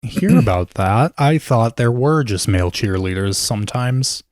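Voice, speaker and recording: A young man, joking around as a podcast co-host, his voice a bit scratchy and hoarse. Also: mid-range voice, animated, normal speed, a little mellow, no room sound. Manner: playful, jokey, campy. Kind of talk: casual chat.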